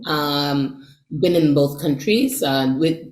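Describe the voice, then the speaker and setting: A woman's voice: sits low, takes it slowly, a little mellow, roomy sound. A woman raised in Jamaica, whose first language is Patois, speaking thoughtfully in an interview. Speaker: actor, cast member. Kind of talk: casual interview chat.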